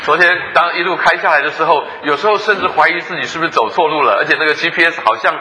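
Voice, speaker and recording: A man's voice: a little high, sharp tone, rough recording. A man who introduces the guest pastor, then interprets the sermon phrase by phrase. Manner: rapid interpreting after each phrase. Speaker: church host and interpreter.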